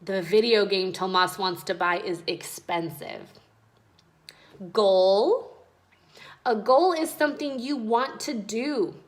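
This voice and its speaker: A woman reading aloud and explaining words as a teacher speaking to children, in a clear, explanatory way. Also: mid-range voice, slow. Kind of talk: children's read-aloud and vocabulary lesson.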